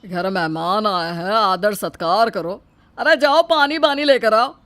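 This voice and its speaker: An elderly village uncle as acted for an audio storybook, pious and entitled. He talks very slowly in rustic phrases, scolding like a man who expects to be served. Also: mid-range voice, a bit bright, lightly acted. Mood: demanding. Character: elderly village uncle, overbearing, pious, freeloading male guest. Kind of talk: audio moral-story acting.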